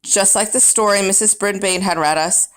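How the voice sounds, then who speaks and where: A woman's voice: mid-range voice, normal speed, a bit bright. A woman reading a children's book aloud as first-person narrator, with dramatic, emphatic storybook phrasing.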